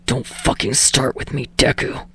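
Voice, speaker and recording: A hostile young man played by a woman narrator growls low and breathy in clipped, venomous lines. He is foul-mouthed and snarling, a character from an audiobook heard in a dry space. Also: wildly swooping pitch, unhurried, fairly bright tone, dry recording, exaggerated voice.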